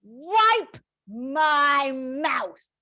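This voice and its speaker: A woman's voice: high voice, sing-song, a little slow, fairly bright tone, no room sound, muffled recording, full character voice. A woman voicing a vulgar, cruel young Cockney waitress who speaks in a sneering, spiteful way. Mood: scornful.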